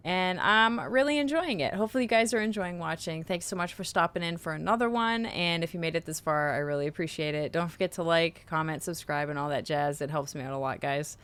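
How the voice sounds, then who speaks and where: A woman's voice: fairly low pitch, brisk, hardly any pauses, fairly bright tone, clear, resonant tone. A woman hosting gameplay videos, commenting casually while her sore throat tires her voice. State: sore throat, voice tiring from a cold. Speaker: gaming video host.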